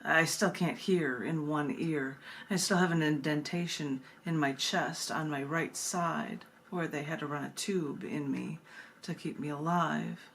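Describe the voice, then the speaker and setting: A woman's voice: low-pitched, steady tone, measured pace, fairly bright tone. A woman poet hosting a reading, reciting poetry and chatting about it with emphatic phrasing. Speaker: poet and host of a book reading. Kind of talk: poetry recitation with casual commentary between poems. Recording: clear background noise.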